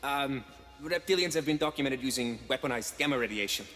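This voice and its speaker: A man's voice: medium pitch, animated, a little fast, bright, forceful tone, long reverb tail. An actor playing a nervous, nerdy young male tech guy in a video game, hesitant and stammering. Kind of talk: video game cutscene acting. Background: game music.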